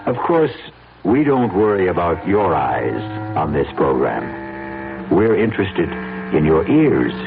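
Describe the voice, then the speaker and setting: A tinny vintage radio broadcast with music behind a male host. His low voice moves expressively through wry, formal, measured drama narration. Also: big pitch swings, creaky, noisy background.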